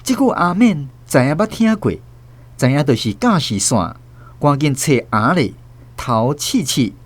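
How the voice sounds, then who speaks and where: A man's voice: fairly high pitch, big pitch swings, unhurried. A man with a Taiwanese accent narrating a radio drama as a scripted, dramatic storyteller.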